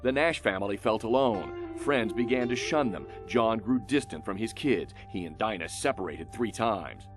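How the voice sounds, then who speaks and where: An American man narrating a scripted human-interest news feature as a reporter, in short emphatic phrases with dramatic pauses. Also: fairly low pitch, expressive. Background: music under the voice.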